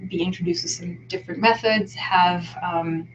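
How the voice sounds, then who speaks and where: A woman, a guest scholar in an interview, speaking thoughtfully and pausing to find her words. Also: lowish voice, fairly even intonation, a little hiss or hum. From Israel. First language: Hebrew. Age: 30s. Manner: thoughtful, reflective, pauses to search for words. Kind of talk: casual interview. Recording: lo-fi online video call.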